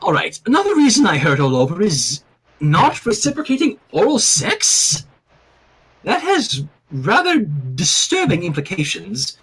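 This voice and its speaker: A man's voice: high voice, huge pitch swings, unhurried, fairly bright tone, husky, rough recording. A man reading fan fiction aloud as host, mixing storytelling with casual commentary.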